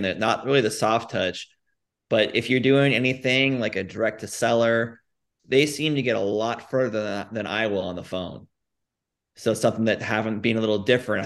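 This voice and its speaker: A man's voice: on the low side, creaky. A man co-hosting a podcast, asking questions casually with frequent restarts and fillers. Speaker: podcast co-host.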